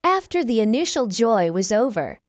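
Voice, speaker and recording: A woman reading a children's storybook aloud, slow, clear and expressive. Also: fairly high pitch, no room sound. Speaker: children's book reader. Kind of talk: storybook read-aloud narration.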